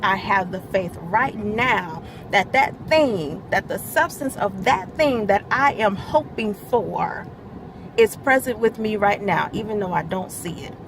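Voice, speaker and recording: A woman who oversees a church's media ministry gives a midweek devotional. She stresses key words, gets louder as she explains scripture, and laughs lightly between remarks. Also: mid-range voice, expressive, unhurried, noisy background.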